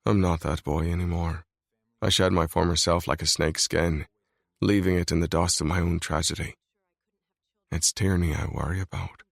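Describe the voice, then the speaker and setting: A man voicing a brooding, controlled mafia boss in a scripted audiobook reading, low and grave. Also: level tone, moderate pace, gravelly, no echo, clean studio sound. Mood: grim. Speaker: male lead voice in audiobook.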